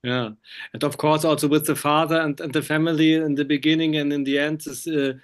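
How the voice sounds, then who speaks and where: Smooth-voiced German man interviewing, haltingly. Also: fairly high pitch, little pitch movement. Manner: halting, hesitant non-native English. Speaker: interviewer, film critic and magazine publisher. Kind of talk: conversational film interview.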